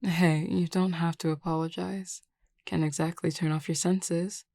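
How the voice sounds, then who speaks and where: Woman playing a mellow, teasing, flirty werewolf woman. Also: fairly low pitch, level tone, no echo, clean studio sound. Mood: relaxed, assured. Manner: teasing, unhurried, casually confident. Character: flirty, teasing, self-assured werewolf pack beta woman. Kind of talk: scripted audio roleplay acting.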